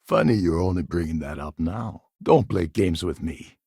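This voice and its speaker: A man playing a gruff, sardonic space pirate con man in a video game, with a gravelly, husky voice and a wry, scheming manner. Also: low-pitched, moderate pace, a little mellow, no echo, studio quality, heavily acted. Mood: irritated, sarcastic. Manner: wry, sarcastic, world-weary.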